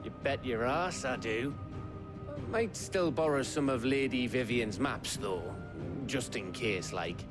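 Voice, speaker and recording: A scrappy, loyal scout from a fantasy game, played by a man. His mid-pitched voice is slow-paced, cocky and colloquial. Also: over music, natural voice. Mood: cocky, willing. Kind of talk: video game voice acting.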